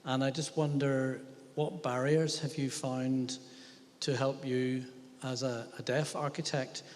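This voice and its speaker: A man of mid-range pitch moderates a panel, polite and thoughtful, pausing often to hesitate. His voice rings out with a long reverb in a lecture hall at a museum event. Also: level tone.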